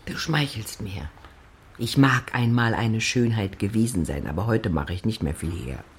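An actress playing an excitable, nagging middle-aged wife and mother in a period radio drama, dramatic, complaining and insistent. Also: a bass voice, moderate pace, a little hiss or hum. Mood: wounded, insistent.

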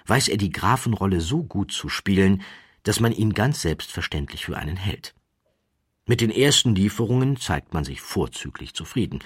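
A man with a very deep voice narrates a literary tale. His tone is husky and rough-edged, recorded dry with no room around it. He delivers the scripted prose in a measured, slightly mannered way, with wry emphasis and deliberate pauses. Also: no echo. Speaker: audiobook narrator.

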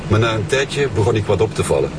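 A man's voice: fairly low pitch, very animated, quick pace, over loud music. An actor playing a defensive young Turkish-Flemish man questioned as a murder suspect in a naturalistic radio drama. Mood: wary, aggrieved. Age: young adult.

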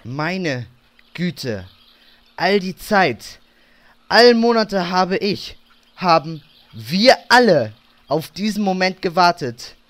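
Man acting an awestruck, slow, stammering hero. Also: sits high, big pitch swings, a bit bright, heavily acted. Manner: halting, stammering, dramatic. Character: awestruck, long-waiting male online-game hero. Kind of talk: comic fan audio drama acting.

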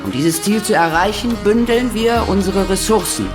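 A woman's voice: medium pitch, normal speed, natural voice. A woman playing a vengeful, imperious committee chair in a radio play, commanding and formal, like an orator. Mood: vengeful, resolute. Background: music.

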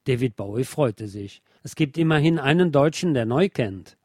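A man reads a nonfiction book as a narrator, in a measured, formal way. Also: mid-range voice, expressive, noisy background. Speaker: narrator and audiobook reader of a radio programme.

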